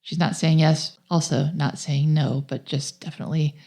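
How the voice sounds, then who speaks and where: A woman's voice: sits low, level tone, moderate pace, dark tone. A woman co-hosting a podcast, recapping a film's story in a conversational way. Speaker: podcast co-host. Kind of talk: conversational film plot recap.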